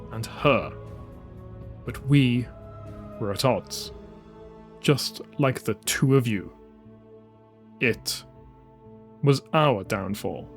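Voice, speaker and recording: A man voicing a broken old male commander, bitter and resigned, with a flat clinical manner, in a dramatised audiobook. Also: medium pitch. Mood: bitter, regretful.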